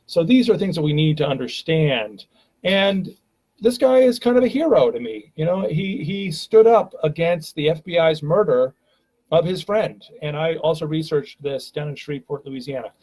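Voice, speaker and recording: A man's voice: fairly high pitch, animated, normal speed, darkish tone, breathy edge, low-quality recording. A man hosting a live stream, talking casually and rambling with many fillers and restarts, from a home webcam.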